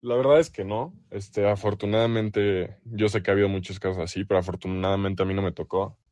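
A young man with a low-pitched voice and a Mexican accent speaks casually, as a player being interviewed. The sound is dry, with very little room around it. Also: steady tone, a little fast, a little mellow, no echo. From El Salvador.

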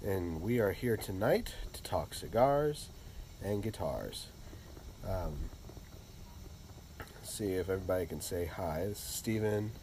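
A man with a stuffy, congested voice from a cold, hosting a casual podcast chat in a relaxed, rambling way. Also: deep voice, unhurried, pauses often, slight background noise. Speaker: podcast host.

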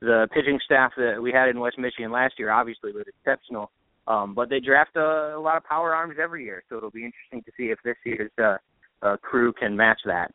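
A man speaks over a narrow, phone-like connection with no room echo. He is a podcast guest with a mid-pitched voice, giving relaxed, conversational sports analysis like an announcer off duty. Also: a little fast. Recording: tinny, band-limited phone-like line.

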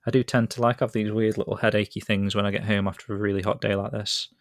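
A chatty British man hosts a casual podcast, talking in a low voice that rambles along easily. The sound is close and dry, with no room around it. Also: level tone, fast, no echo. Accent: British English. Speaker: podcast host.

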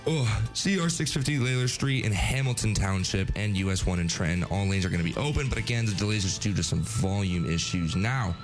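Deep voiced guy doing the traffic report, chill and offhand, music playing under it. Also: brisk, hardly any pauses. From New Jersey. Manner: laid-back, casual asides. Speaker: radio co-host reading traffic and gas report.

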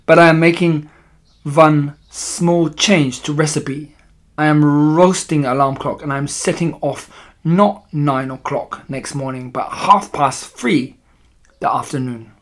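Male narrator as evil storybook witch, imperious, malevolent, slow emphatic delivery, broken grammar. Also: on the high side, lightly acted. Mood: gloating, commanding. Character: imperious, malevolent female Grand High Witch plotting against children.

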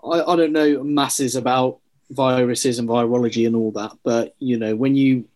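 Brief: man, mid pitch, blunt and animated, colloquial talker. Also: moderate pace. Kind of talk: casual discussion.

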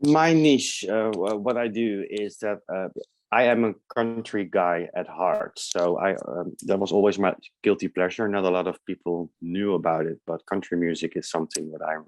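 A man's voice: low-pitched, moderate pace, no echo. A man from the Netherlands, a podcast guest answering in a friendly, hesitant way with many fillers. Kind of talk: casual interview answers.

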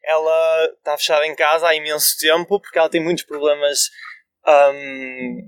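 A young man with a European Portuguese accent talks sincerely in a level, monotone way. His voice sits a little high for a man. The recording is close and dry. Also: normal speed, smooth, no room sound. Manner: flat, sincere, personal. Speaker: podcast co-host. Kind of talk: casual podcast discussion.

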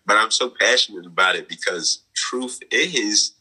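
A man in his twenties, a guest on an interview, talking earnestly about his life with many fillers and soft laughs over a remote call. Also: lowish voice, normal speed, breathy edge, rough recording. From United States. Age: 20s. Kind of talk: conversational interview, personal story.

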